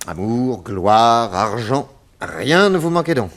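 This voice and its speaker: An actor playing an inquisitive, wry old friend in a French radio serial, reacting casually and probing with questions. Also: male voice, mid-range voice, big pitch swings, slow, fairly bright tone, full character voice.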